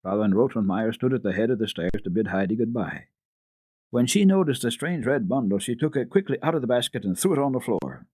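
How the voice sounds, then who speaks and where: A man giving an expressive, careful dramatic reading of classic children's literature as a radio storyteller. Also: mid-range voice, a little fast, husky, no echo.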